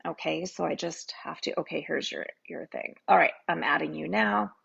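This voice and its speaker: A woman's voice: deep voice, normal speed, creaky, a bit bright, husky, lo-fi sound. A woman hosting a live video interview, friendly as she sorts out the call and introduces her guest. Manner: friendly, sorting out the call setup. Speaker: host.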